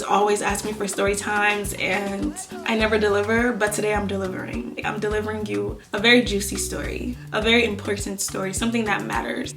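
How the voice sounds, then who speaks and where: A young woman from Nigeria chatting casually to her channel while she does her makeup, sassy and full of slang. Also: mid-range voice. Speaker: YouTuber. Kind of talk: casual storytime chat while doing makeup.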